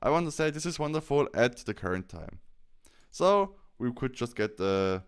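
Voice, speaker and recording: A man presenting a software tutorial, explaining things step by step and often correcting himself as he goes. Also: medium pitch, sing-song, moderate pace, smooth.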